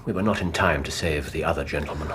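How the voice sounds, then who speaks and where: An actor in a radio drama playing a gentlemanly English investigator, articulate and commanding. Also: male voice, rumbling low, fast. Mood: grave, narrating. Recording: noisy ambience behind radio drama.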